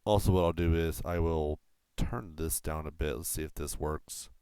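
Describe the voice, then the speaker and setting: A man talks through a flight combat game for his stream, speaking from a home desk setup. His voice sits low and comes across smooth and resonant, with a dry, close sound. He rambles loosely, filling gaps with "uh" and "you know" and letting a swear slip out. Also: steady tone, moderate pace, mellow, no echo. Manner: rambling, with frequent fillers and casual swearing. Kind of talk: casual gameplay explanation on a live stream. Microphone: desk microphone through a mixer.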